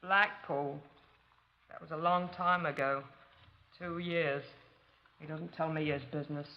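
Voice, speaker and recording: A woman acting a stiff, downtrodden housemaid wife in a radio drama, quiet and reserved. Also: deep voice, steady tone, unhurried. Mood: guarded, subdued. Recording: muffled old radio recording.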